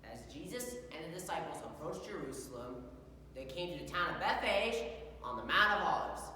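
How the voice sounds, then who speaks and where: Young female, dramatic scripture reciter, expressive pitch swings, theatrical dynamics, recorded distant in a reverberant hall. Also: normal speed. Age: young adult. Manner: theatrical, swings from hushed to shouted. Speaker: youth performer at a church festival. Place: large echoey indoor room. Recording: echoey hall, far from mic, hum.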